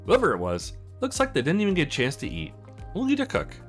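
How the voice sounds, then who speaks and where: A man's voice: a little high, huge pitch swings, fast, faint music behind. A man hosting a let's play, reading visual novel dialogue aloud, acting out lines and adding casual commentary. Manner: acts out characters' lines with exclamations.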